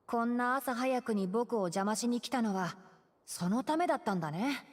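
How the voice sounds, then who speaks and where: A bookish young male botanist from a video game, speaking in a long reverb. The delivery is quick, precise and mildly exasperated, with lively intonation. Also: on the high side. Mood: patient, explanatory. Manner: precise, matter-of-fact, gently scolding. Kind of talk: Japanese video game voice acting.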